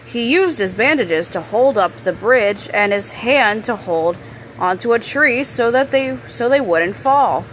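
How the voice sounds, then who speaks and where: Woman slowly reading a children's storybook aloud. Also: on the high side, lively intonation, slight background noise, rough recording. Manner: halting reader, stumbles and corrects words. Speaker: storybook reader. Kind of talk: children's book read-aloud.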